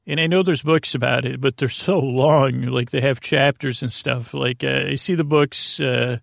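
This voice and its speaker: A man hosting a sleep podcast, delivering a rambling bedtime monologue in a halting, self-interrupting way that drifts into tangents. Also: mid-range voice, fairly even intonation, creaky, no room sound, studio quality. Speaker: podcast host.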